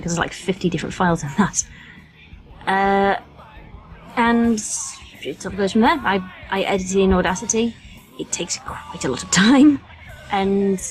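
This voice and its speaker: A woman's voice: medium pitch, poor audio. Faint music plays under a British woman's mid-range voice. She talks as a guest on a casual podcast, chatty and informal, poking fun at herself in homely, colloquial phrases. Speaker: featured guest, voice actress.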